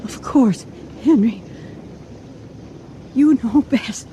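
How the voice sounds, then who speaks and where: An actress playing an anxious, doubting wife in an old-time radio thriller, hesitant and fretful in a dramatic style. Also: female voice, on the high side, very slow, mellow, a little hiss or hum. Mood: resigned, worried. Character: anxious, doubting wife plotting to hide a corpse.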